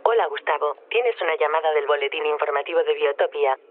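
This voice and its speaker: Audio drama role: male actor as literal, formal, courteous AI, very quick delivery, narrow telephone sound. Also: fairly high pitch, close to an everyday voice. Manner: stiffly formal, over-literal phrasing. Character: literal-minded, polite artificial intelligence in a silicon body. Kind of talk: radio drama. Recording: tinny, narrowband phone line.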